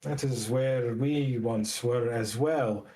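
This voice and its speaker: A man voicing a solemn elder who recounts ancient lore in a tabletop game, measured, formal and portentous. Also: mid-range voice, mellow.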